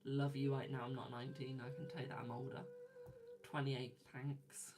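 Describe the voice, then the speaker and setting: A man's voice: mid-range voice, level tone, normal speed. A man in his twenties, a tarot reader, chatting casually on a live stream and reading viewer comments aloud, laughing often. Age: 20s.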